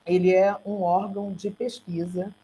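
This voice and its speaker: A woman with a Brazilian Portuguese accent gives a slide presentation on an online call, speaking haltingly and correcting herself as she goes. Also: mid-range voice, flat delivery, mellow. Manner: halting, self-correcting delivery. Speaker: research centre coordinator giving a talk. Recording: noisy online call with hum.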